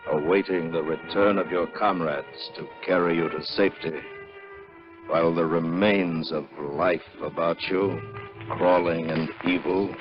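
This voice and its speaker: An actor playing an ominous, solemn announcer in a 1950s adventure radio drama. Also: male voice, sits low, fairly even intonation, measured pace. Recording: muffled vintage 1950s radio, music behind.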